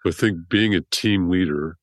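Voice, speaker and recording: A middle-aged man, a surgeon guest with a deep voice, rambling through his story in a casual interview. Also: measured pace, no echo, clean studio sound. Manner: rambling, restarts often.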